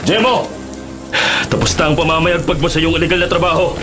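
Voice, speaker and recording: An actor playing a righteous, resolute husband who speaks firmly and declaratively in a radio drama. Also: male voice, fairly high pitch, steady tone, fairly quick, close to an everyday voice. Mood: accusing. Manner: firm, declarative. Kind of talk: Tagalog radio drama acting. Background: dramatic background music.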